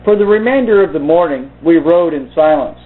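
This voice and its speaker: A man reading aloud and commenting informally in a halting, deliberate way. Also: a little high, lively intonation. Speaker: video host reading from a book. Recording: muffled phone-like narrow-band sound.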